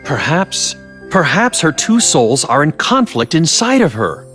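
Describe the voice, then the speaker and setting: A man playing a curious, evasive doctor in a video game, musing and teasing with theatrical pauses. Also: fairly high pitch, sing-song, fairly bright tone. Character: wry, curious, evasive male scientist doctor. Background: faint music.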